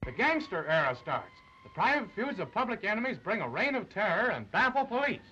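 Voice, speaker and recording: A man's voice: sits high, very animated, fairly quick, bright, forceful tone, highs cut off, soft background music. A man narrating a 1930s newsreel in a dramatic, emphatic, declamatory style, on an old film soundtrack.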